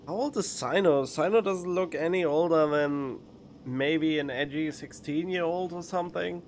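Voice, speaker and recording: A man's voice: a little high, moderate pace, a little hiss or hum. A man giving casual let's play commentary as a game streamer, musing and thinking aloud into a close mic. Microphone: close studio condenser microphone. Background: faint game music.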